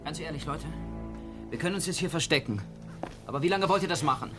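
A man's voice: on the high side, quick pace, music in the background, natural voice. An actor playing a young man who doubts his group's plan and keeps asking pushy, skeptical questions. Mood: frustrated. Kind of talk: radio drama acting.

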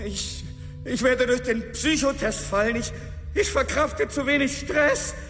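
An actor playing a frantic, fragile employee, stammering and whining in a radio drama. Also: male voice, very high voice, unhurried, a bit bright, full character voice. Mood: panicked pleading. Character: frantic, fragile male employee pleading weakness. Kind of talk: German radio drama acting. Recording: long reverb, music behind.

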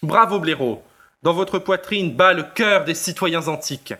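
A man playing a pompous, flattering crusading lawyer, speaking in a formal, oratorical audio drama style. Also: a little high, unhurried. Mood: rousing, grandiloquent. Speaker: actor in an amateur audio drama. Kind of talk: theatrical French audio drama acting.

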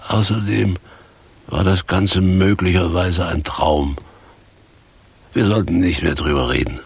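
An actor playing a weary, reproachful homeless former stage actor in a radio play, speaking deliberately and pompously in a husky, gravelly voice. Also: male voice, deep voice, heavily acted. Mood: reproachful, dismissive.

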